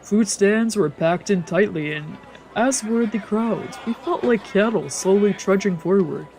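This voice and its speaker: A woman's voice: medium pitch, a little slow, darkish tone, soft background music, poor audio. A woman, a streamer narrating a visual novel in a let's play. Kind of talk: let's play, narrating and voicing translated lines.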